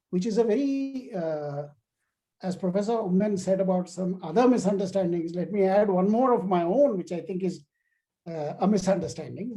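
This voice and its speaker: Mellow-voiced older male scholar. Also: high voice, rough recording. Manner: discursive, emphatic, illustrates points with anecdotes. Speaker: guest lecturer and scholar. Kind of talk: academic lecture question-and-answer.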